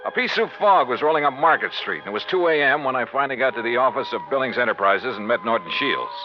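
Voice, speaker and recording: A man's voice: mid-range voice, expressive, fast, dull audio, soft background music, close to an everyday voice. An actor playing a wry, skeptical male investigator in a clipped, sardonic 1950s hardboiled radio style. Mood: brisk, dry. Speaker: lead actor and narrator. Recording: band-limited vintage radio recording.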